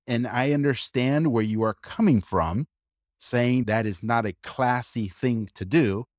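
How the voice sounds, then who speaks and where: A man co-hosting a casual discussion, arguing his point in an animated way with quick asides and restarts. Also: medium pitch, no echo, studio quality. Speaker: podcast co-host.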